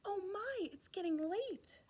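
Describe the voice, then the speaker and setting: A woman's voice: extremely high pitch, expressive, measured pace, darkish tone, voice pushed up. A woman voicing a fretful little pumpkin in a children's rhyme, exclaiming with worry. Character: little first pumpkin, squeaky, fretful about the hour.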